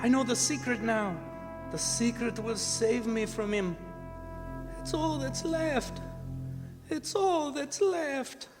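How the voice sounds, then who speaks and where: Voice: medium pitch, takes it slowly, soft background music, lightly acted. An actor playing a frantic madman in a stage radio drama. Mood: frantic, desperate. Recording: echoey hall, live stage performance.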